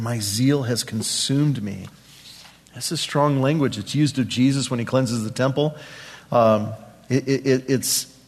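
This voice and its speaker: A man preaches in a mid-pitched voice with long hall reverb around it. He teaches scripture conversationally, circling back over phrases and asking his listeners rhetorical questions. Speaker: pastor, preacher. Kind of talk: sermon, verse-by-verse Bible teaching to a congregation.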